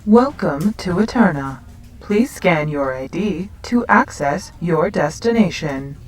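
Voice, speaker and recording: A woman voicing a polite, emotionless building computer, giving formal, measured automated announcements in a sci-fi audio drama. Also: on the low side, expressive, far from the mic. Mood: neutral, automated.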